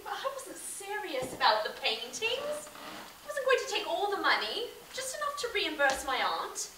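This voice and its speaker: A woman's voice: very high-pitched, wildly swooping pitch, normal speed, bright, forceful tone, full character voice. A woman doing a genteel, dramatic heiress. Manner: well-bred, dramatic. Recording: echoey room, mic far off, faint hiss.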